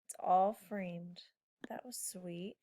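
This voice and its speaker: A woman's voice: fairly low pitch, steady tone, slow, dark tone. A woman teacher talking informally to children, prompting them with questions during a read-aloud lesson. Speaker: elementary school teacher.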